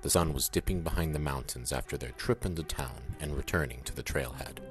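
A man's voice: extremely low pitch, brisk. A man delivering scripted narration as the narrator of an audio drama. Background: music.

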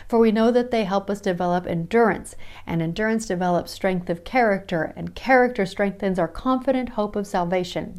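A woman with a Midwestern American accent leads a daily Bible study podcast. She speaks in an earnest, encouraging tone and reads scripture aloud between her own reflections. Her voice sits at a middling pitch for a woman. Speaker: podcast host. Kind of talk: devotional Bible study talk.

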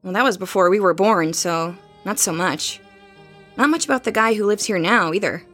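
A woman's voice: mid-range voice, normal speed, a bit bright, soft background music, natural voice. A woman playing a curious, cautious young woman, the sensible friend, in an audio horror drama. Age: young adult.

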